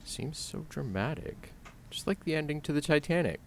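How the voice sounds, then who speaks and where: An actor playing a timid, put-upon pizzeria worker, naive and hesitant, in a comedy horror audio drama. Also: male voice, a little high, lively intonation, normal speed, vocal fry. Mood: relieved. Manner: hesitant, meek.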